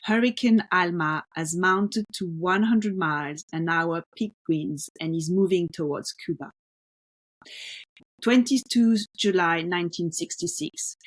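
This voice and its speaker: A woman co-hosting a podcast, talking casually about an art exhibition, explaining at length with many fillers and restarts. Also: fairly low pitch. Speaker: podcast co-host.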